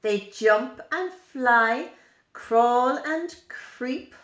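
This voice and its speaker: A woman reading a children's story aloud in an expressive, deliberate, emphatic way, adding simple explanatory asides. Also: a little high. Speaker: storyteller at a special school. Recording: roomy, echoing indoor sound.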